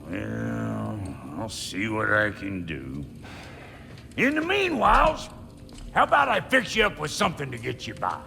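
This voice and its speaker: A gravelly, cranky dwarf blacksmith from a video game, with a folksy American accent. He drawls slowly and swoops wildly in pitch, gruff and teasing. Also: very animated, over music, full character voice. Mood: gruff helpful. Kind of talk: video game character acting.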